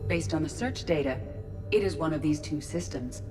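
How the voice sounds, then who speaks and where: Calm female spaceship ai from a video game, formal and exact, music playing behind. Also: low-pitched. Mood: measured, matter-of-fact. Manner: measured, formal, analytical. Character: calm, precise female ship artificial intelligence.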